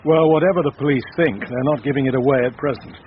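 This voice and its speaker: A man's voice: medium pitch, fast talker, close to an everyday voice. An actor playing a restrained, reassuring middle-aged father in a radio drama. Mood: guarded. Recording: dull radio recording, faint noise.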